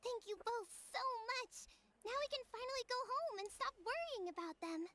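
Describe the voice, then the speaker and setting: A woman's voice: extremely high pitch, expressive, moderate pace, pushed and bright, a little hiss or hum, exaggerated voice. A woman voicing a friendly, eager, chatty young village girl in a video game. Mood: grateful, welcoming. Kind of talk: video game character acting.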